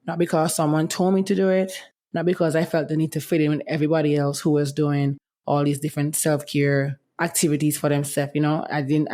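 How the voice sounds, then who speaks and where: A woman's voice: deep voice, mellow. A young woman hosting a casual solo podcast, talking in a candid, chatty way while her voice is affected by medication. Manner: candid, chatty, often says "let me tell you". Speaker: podcast host.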